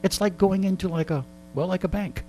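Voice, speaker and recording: A man's voice: high-pitched, brisk, dark tone, slight background noise. A man telling stories in casual comedic banter, joking and mock-indignant, acting out bits of dialogue. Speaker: radio host being interviewed.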